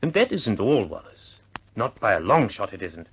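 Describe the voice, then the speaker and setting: A man's voice: on the low side, sing-song, normal speed, husky, full character voice, poor audio. An actor playing an exasperated senior British official in a vintage radio drama, stammering and emphatic as he blusters.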